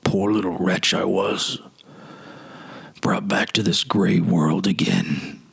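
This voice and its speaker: A man playing a haunted, wistful man recalling his lost childhood, halting and earnest in a dramatic literary reading. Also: deep voice, fairly even intonation, gravelly, husky, full character voice. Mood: wretched, grieving.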